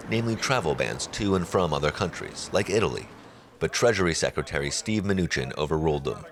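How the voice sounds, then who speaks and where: A man's voice: low-pitched, fairly bright tone. A man reading scripted narration for a news documentary.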